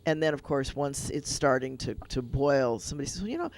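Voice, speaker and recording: A man with a gravelly, creaky voice of middle pitch hosts a radio talk show as a rabbi. He tells a children's fable with lively, animated delivery and chats casually with his guests. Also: a little fast, slight background noise. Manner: animated storyteller, retelling a fable. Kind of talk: casual radio conversation and storytelling.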